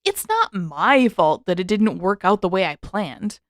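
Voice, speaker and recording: A woman voicing a brash, defensive teenage boy who protests loudly and excitably in a story reading. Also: medium pitch, measured pace, dry recording, studio quality.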